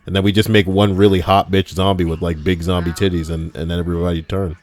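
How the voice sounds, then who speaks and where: A man's voice: low voice, little pitch movement, quick pace, a little mellow. A man streaming an online game, joking crudely and rambling in casual banter with other players.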